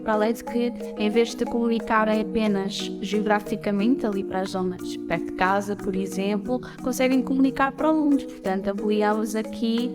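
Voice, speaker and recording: Quick-talking woman. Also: mid-range voice, fairly even intonation. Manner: quick, fluent, explanatory. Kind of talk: explaining in an interview. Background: loud background music.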